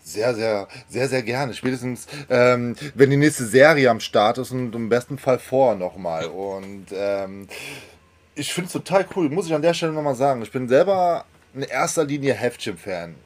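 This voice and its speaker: A man's voice: medium pitch, expressive, normal speed. A man hosting a casual fan interview as the interviewer, talking in an enthusiastic, effusive way. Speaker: interviewer and channel host.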